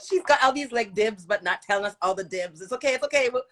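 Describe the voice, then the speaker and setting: A woman's voice: fairly high pitch, expressive, fast talker, crowd noise. A woman hosting a casual live-stream interview, encouraging and affirming, often repeating her phrases as she goes. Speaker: host, interviewer.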